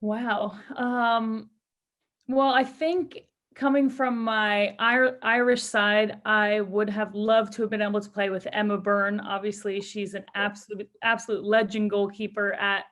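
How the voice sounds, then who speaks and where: A woman's voice: mid-range voice, flat delivery, measured pace, a bit bright. A young adult woman, a footballer, answering interview questions casually in an upbeat, earnest way. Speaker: professional footballer being interviewed.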